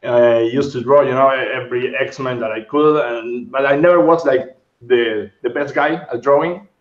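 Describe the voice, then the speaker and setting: A man's voice: mid-range voice, moderate pace. A man in his thirties from Argentina, Spanish his first language, chats loosely as a guest and hunts for English words. His voice comes in over a remote call, muffled and roomy. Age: 30s. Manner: rambling, searching for English words. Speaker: guest sculptor. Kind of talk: casual interview chat. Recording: dull, roomy sound.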